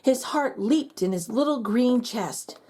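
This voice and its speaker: A woman reads a children's picture book aloud with an expressive storyteller's delivery. Her voice sits high and swoops up and down with lively energy. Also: measured pace, husky. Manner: expressive and animated.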